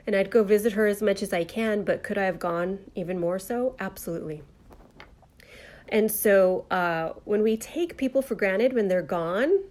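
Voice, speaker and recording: Slightly nasal woman hosting a live stream. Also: fairly low pitch. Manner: heartfelt, gentle, many fillers. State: nasal from a cold. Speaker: host of a live online talk show. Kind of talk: casual live-stream monologue.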